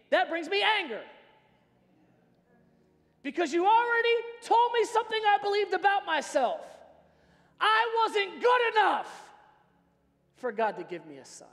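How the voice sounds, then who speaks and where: High-pitched American male preacher in a hall. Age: middle-aged. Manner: emphatic, dramatic swings from hushed to shouting. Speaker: pastor preaching. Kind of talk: impassioned sermon with personal storytelling. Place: large church stage indoors. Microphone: worn microphone, hands free. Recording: reverberant large hall sound system.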